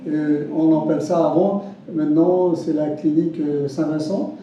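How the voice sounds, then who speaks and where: A man in his seventies from Réunion with a Réunionese French accent, telling his life story haltingly, with repeats and restarts. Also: on the high side, steady tone, warm tone, a little hiss or hum. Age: 70s. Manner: halting, repeats words, many restarts and fillers. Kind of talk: spoken testimony. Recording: distant mic, roomy, dull and lo-fi.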